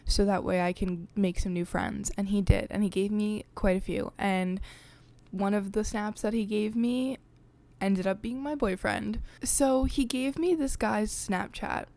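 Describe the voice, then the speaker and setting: A woman's voice: mid-range voice, steady tone, a little slow, a little mellow. A young woman hosting her own podcast, chatting in a confiding way with lots of filler words. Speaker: podcast host. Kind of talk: casual chat. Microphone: desk podcast mic.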